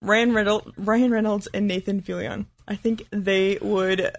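High-pitched man in casual podcast chat. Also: little pitch movement, unhurried, vocal fry, dry recording. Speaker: podcast co-host.

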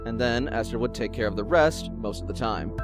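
Male narrator, medium register, measured literary storytelling over a prominent music bed. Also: medium pitch.

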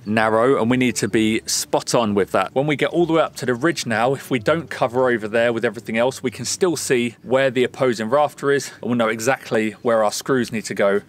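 A man's voice: mid-range voice, brisk, hardly any pauses, a little hiss or hum. A man in his thirties hosting a renovation vlog, explaining things casually to camera outdoors. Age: 30s. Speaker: vlog host renovating a house. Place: outdoors at a rural building site.

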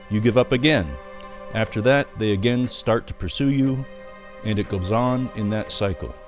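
A male horror host played by a man who presents himself as a tarot reader from the beyond. His voice swoops widely in pitch with a theatrical, pushy salesman's manner, and music plays behind him. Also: darkish tone. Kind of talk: comic in-character monologue and advice.

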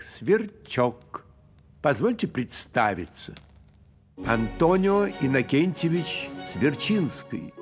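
A man voicing a fussy, dignified cricket in a cartoon, with pompous, theatrical, old-fashioned formal speech. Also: fairly high pitch. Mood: pompous, proud. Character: fussy, dignified male cricket, keeper of music. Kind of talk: cartoon character acting.

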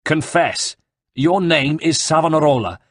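A man's voice: on the high side, lively intonation, unhurried, no room sound, clean studio sound, pitched above the performer's own voice. A man voicing a brutal male guard captain who barks jeering threats. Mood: menacing.

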